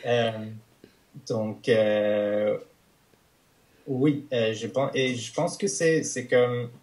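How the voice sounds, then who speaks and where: Slow, hesitant man with Canadian French accent. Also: medium pitch, a little mellow. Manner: hesitant, many restarts and fillers, searching for words. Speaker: podcast guest, polyglot. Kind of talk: casual conversation.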